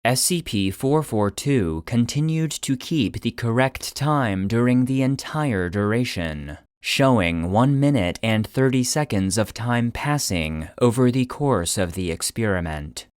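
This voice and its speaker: A man giving scripted narration in a measured, clinical manner, like a report being read out. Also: mid-range voice, lively intonation, smooth, no echo, clean studio sound. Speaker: narrator and producer.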